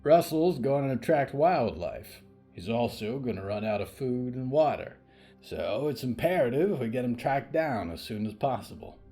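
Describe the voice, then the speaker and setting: A silver-haired old cowboy tracker, played by a man in an audio story. He speaks in a folksy, courteous way with animated pitch movement. He comes across as calm and practical. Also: moderate pace, soft background music, close to an everyday voice. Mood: steady, practical. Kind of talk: audio fiction story acting.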